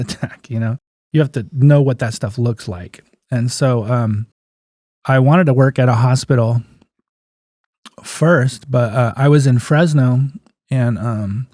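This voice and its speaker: Guy in his 50s chatting in an interview, relaxed mellow voice, folksy stories, really dry recording. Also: mid-range voice, no echo. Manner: anecdotal, acts out remembered dialogue, folksy plain-spoken phrasing. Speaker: guest, physical therapist and clinic owner. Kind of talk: casual interview storytelling.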